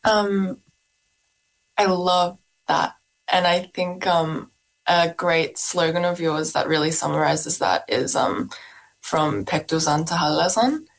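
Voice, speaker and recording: Creaky low-voiced woman interviewer. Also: slow. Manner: hesitant, many ums and likes. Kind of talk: casual interview questions.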